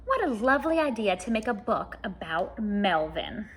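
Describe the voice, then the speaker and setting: A woman's voice: mid-range voice, very animated, moderate pace, a little hiss or hum, lightly acted. A woman voicing a kindly old Santa Claus, jolly and fondly reminiscing, in a storybook reading. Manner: fond, reminiscing.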